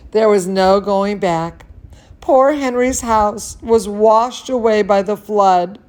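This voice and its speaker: A woman telling bedtime stories and chatting cozily to young children in an expressive, soothing, singsong manner. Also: mid-range voice, drawn-out words. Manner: chats playfully to a pet or companion. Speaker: dance studio teacher reading bedtime stories to children. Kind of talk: children's bedtime storytelling and cozy chat.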